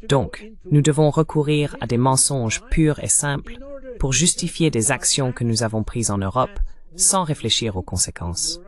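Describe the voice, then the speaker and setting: A man delivering a translated voice-over of a political interview as the guest analyst, in a measured, explanatory way. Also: mid-range voice, lively intonation.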